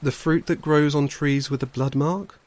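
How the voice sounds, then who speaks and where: A man's voice: fairly high pitch, fairly even intonation, normal speed, a little mellow, natural voice. A man voicing a proud, serious young male bear, a stubborn older brother speaking earnestly in a storybook. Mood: instructing. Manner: earnest, challenging.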